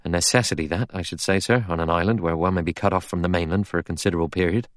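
An anxious butler, as a male reader performs him, speaks softly and with careful formality. He is deferential and servile, a low storybook voice in a dry recording. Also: fairly even intonation, very fast, no echo, lightly acted.

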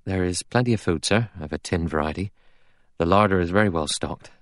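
An anxious butler, as a male reader performs him, speaks softly and with careful formality. He is deferential and servile, a low storybook voice in a dry recording. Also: a little fast, no echo, lightly acted.

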